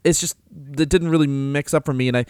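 Dude rambling on a podcast, lots of likes and ums, dry close sound. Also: male voice, a little high, steady tone, fast talker, darkish tone, smooth, dry recording. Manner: rambling, loose, swears casually, many fillers. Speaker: podcast co-host. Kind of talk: casual wrestling podcast chat.

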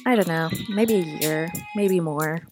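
Low-pitched woman hosting a podcast. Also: a little slow, over music. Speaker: podcast host. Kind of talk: scripted intro and casual interview.

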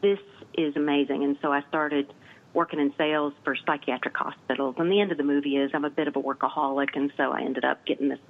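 A woman's voice: sits low, fairly even intonation, fast. A woman from Nashville with a Southern accent, a cemetery tour guide chatting casually and laughing often. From Nashville, Tennessee. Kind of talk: casual chat. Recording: tinny phone line.

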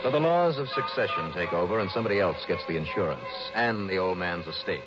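A man's voice: low-pitched, lively intonation, quick pace, loud music behind the voice. A man playing a shrewd, tough insurance investigator in a 1960s radio detective drama, clipped and assured. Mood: wry, narrating. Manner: clipped, assured, hard-boiled. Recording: dull, noisy old radio broadcast.

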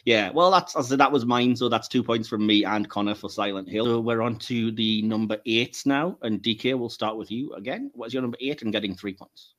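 A man's voice: mid-range voice, a little fast, hardly any pauses, fairly bright tone. The man steering a round of friends through a chatty podcast countdown. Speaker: podcast host. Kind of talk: casual panel chat.

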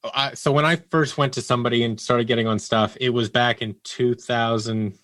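A man's voice: medium pitch, steady tone, moderate pace, fairly bright tone, no echo. A man speaking as an interview guest, candidly telling personal stories in a conversational way and acting out quotes as he goes.

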